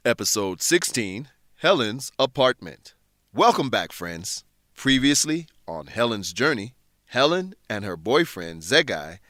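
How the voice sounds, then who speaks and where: A man narrating a scripted radio drama for English learners, with deliberate, presentational phrasing. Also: medium pitch, fairly bright tone, no echo, studio quality.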